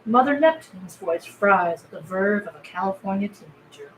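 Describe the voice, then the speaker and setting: A woman's voice: mid-range voice, lively intonation, moderate pace, low-quality recording. A woman narrator reading screenplay stage directions aloud at a table read. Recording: faint background noise.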